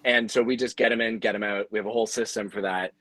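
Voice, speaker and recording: A man's voice: fairly low pitch, steady tone, quick pace, a bit bright, no room sound. A man explaining things in businesslike detail in a conversational panel discussion. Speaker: audio drama producer on a panel.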